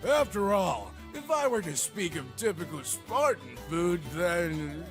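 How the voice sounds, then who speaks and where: Drunk Spartan warrior, effusive, high-pitched, extremely animated, comic dub acting, clear music underneath. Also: male voice, unhurried, exaggerated voice. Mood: tipsy. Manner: loud, over-excited, tipsy gushing.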